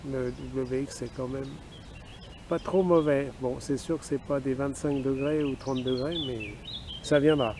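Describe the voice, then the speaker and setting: A man's voice: mid-range voice, unhurried. A man with a French accent chatting over amateur radio as an operator out in the open. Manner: spells call signs in phonetic alphabet. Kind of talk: radio contact chat. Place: outdoors in a wooded park. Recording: outdoor recording with clear background noise.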